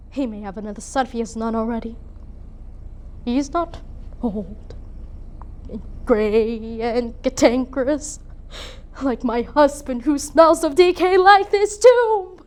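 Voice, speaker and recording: A woman's voice: fairly high pitch, a little mellow. A woman performing a dramatic monologue as a grieving, bitter, proud young noblewoman mother, with an emotional theatrical delivery. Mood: proud, defensive. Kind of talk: dramatic monologue for speech competition.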